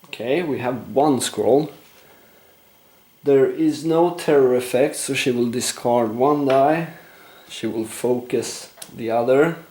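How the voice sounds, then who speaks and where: A man narrating a board game play-through, explaining rules and thinking aloud with frequent fillers, his voice going slightly hoarse. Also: mid-range voice, slow pace, reverberant, very noisy. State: losing his voice, clearing throat. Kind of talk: casual gameplay commentary.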